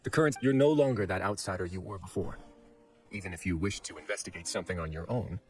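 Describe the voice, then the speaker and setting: Casting: man to play composed fortress warden for a game, fairly deep, smooth-talking, assured and courteous. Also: fast talker, a little mellow, slight background noise, close to an everyday voice. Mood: courteous, insistent. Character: self-assured, composed adult male prison fortress administrator, shrewd, courteous. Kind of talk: anime game voice acting. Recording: faint background music.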